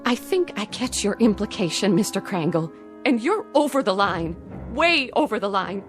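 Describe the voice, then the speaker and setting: A woman's voice: medium pitch, very animated, normal speed, lightly acted. A woman playing an indignant young wife. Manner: earnest, protesting. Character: indignant young doctor's wife defending her husband. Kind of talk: 1960s TV drama acting. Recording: old TV soundtrack with faint music.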